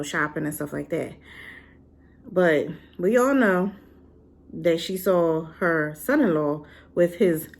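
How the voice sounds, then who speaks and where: A divorced adult woman giving a sassy, animated casual review straight to her viewers. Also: sits low. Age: adult, been married and divorced. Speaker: drama reviewer.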